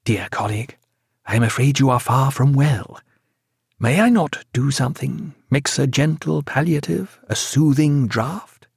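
A gentle ship's doctor voiced by a male audiobook reader speaks in a soft, confiding tone. His manner is formal and full of concern, close and dry on the recording. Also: a little slow, vocal fry, breathy edge, no echo, heavily acted. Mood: tender concern. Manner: low-voiced, tender, formal.

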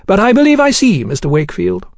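A man voicing a suave, coolly menacing English gentleman for an audiobook, genteel and elaborately courteous, with an insinuating way of talking. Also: high voice, sing-song, fast, gravelly, no echo, clean studio sound, pitched above the performer's own voice, exaggerated voice. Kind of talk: audiobook character dialogue.

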